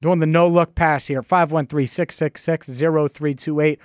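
A man chats casually as the host of a sports podcast. His voice is a little high, and the recording is dry and close. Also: fairly even intonation, normal speed, clear, resonant tone, no room sound. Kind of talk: casual chat.

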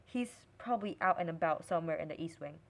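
A woman's voice: deep voice, fast talker, vocal fry. A woman playing a curious, restless young princess and affectionate sister in an audio drama. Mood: flustered.

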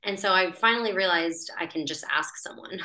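A woman's voice: on the low side, fairly quick, pushed and bright, poor audio. A woman telling a personal story as a podcast guest in a chatty, breezy way, laughing as she talks. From United States. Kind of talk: casual storytelling in conversation.

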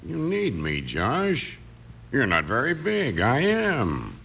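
An actor playing a big, cocky gunslinging ex-convict, menacing, in an old-time radio western. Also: male voice, mid-range voice, huge pitch swings, slow, vocal fry, bright, forceful tone, heavily acted. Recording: faintly noisy vintage radio recording.

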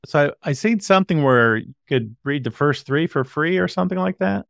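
Smooth, clear-voiced male interviewer. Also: fairly high pitch, animated, a little fast, a bit bright, no echo, studio quality. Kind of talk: casual chat.

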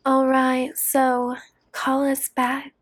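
A woman's voice: high voice, monotone, very slow. A young woman co-hosting a casual late-night podcast chat, giggling, teasing and swearing freely. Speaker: podcast co-host.

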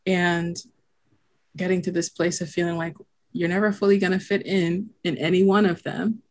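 A woman's voice: lowish voice, little pitch movement, normal speed. Young woman from haiti, no accent left, casual podcast guest, says "like" a lot, laughs easy. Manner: reflective, lots of "like" fillers, laughs often. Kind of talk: casual conversation.

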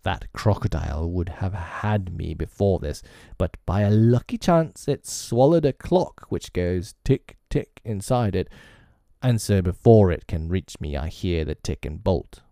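A man voicing a proud, brooding, vengeful pirate captain from a storybook, with slow, drawn-out, dramatic delivery. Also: sits low, expressive, creaky, mellow, no room sound, studio quality, full character voice. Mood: haunted.

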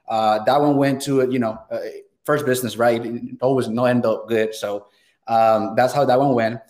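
A man's voice: medium pitch, fairly even intonation, normal speed, a little mellow. A young man from Venezuela with a Venezuelan Spanish accent, a native Spanish speaker, telling his story eagerly as a podcast guest and often restarting his words. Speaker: guest, real estate sales professional. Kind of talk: casual podcast interview.

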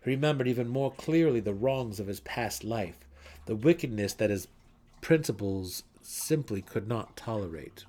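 A man's voice: on the low side, expressive, normal speed, darkish tone. A man reading scripted fantasy narration in a dramatic, intense and theatrical way. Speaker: audiobook narrator.

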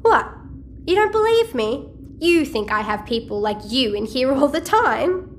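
A quirky teenage hacker girl, speaks in a high voice that swoops with cheeky energy. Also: sing-song, measured pace, a little hiss or hum. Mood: friendly, teasing. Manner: cheeky, chatty, slangy. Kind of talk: audio-fiction drama dialogue.

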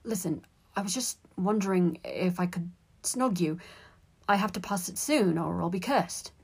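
A woman's voice: on the low side, lively intonation, normal speed, vocal fry, husky, exaggerated voice. A woman voicing a flustered, sheepish teenage schoolboy in a story, hesitant and defensive. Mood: awkward.

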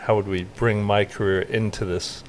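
A middle-aged man with a lowish voice talks in a relaxed, conversational way. He is a guest on a podcast interview, speaking as a realtor. Faint hiss sits behind him. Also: moderate pace.

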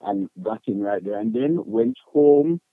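A man's voice: fairly low pitch, very animated, a little slow, creaky, no echo, phone-quality sound. A man with a Jamaican accent telling personal stories as an interview guest, candid and emphatic in colloquial speech. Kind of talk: personal storytelling in conversation.

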